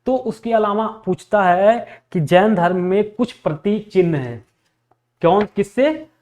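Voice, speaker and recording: High-pitched man drilling exam facts. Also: animated, measured pace, darkish tone. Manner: repetitive, asks questions and answers them himself. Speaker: history teacher for exam preparation. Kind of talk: classroom lecture drilling facts for exams.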